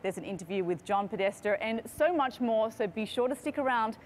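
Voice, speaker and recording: A woman hosting a live broadcast event, reading scripted links in a measured, earnest announcer style. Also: fairly high pitch, animated, a little hiss or hum. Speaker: livestream event host.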